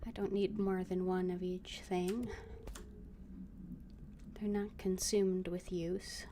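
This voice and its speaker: A woman streamer talks through her gameplay in slow, quiet, half-whispered asides, thinking aloud to herself. Her voice sits mid-range, with clear noise running under the stream. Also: lowish voice, level tone. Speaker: streamer playing a video game. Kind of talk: live gameplay commentary.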